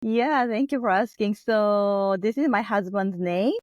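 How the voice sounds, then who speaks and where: A woman's voice: mid-range voice, measured pace, no room sound, highs cut off. A woman from Japan with a Japanese accent, a therapist guest on a podcast, speaking thoughtfully with many fillers and restarts. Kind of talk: podcast interview.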